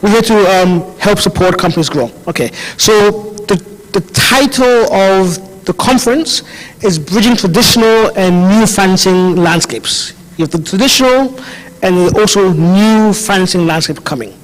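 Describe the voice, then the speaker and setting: A man's voice: high voice, expressive, normal speed. Man with a foreign accent, conference hall. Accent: foreign, European-tinged. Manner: casual, chatty, self-correcting. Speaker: conference speaker. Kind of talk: conference presentation. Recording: hall reverb from a large room.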